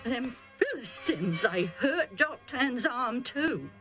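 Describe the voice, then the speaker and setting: A woman voicing a rough, excitable male herdsman who pleads urgently in rustic dialect. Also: fairly high pitch, takes it slowly, over music, voice pushed up. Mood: aggrieved pleading.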